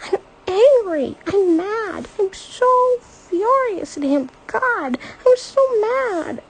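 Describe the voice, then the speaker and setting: A woman voicing a teenage girl who is crying and furious in her grief, with shrill, sobbing outbursts. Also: lively intonation, takes it slowly, exaggerated voice, rough recording. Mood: furious, tearful.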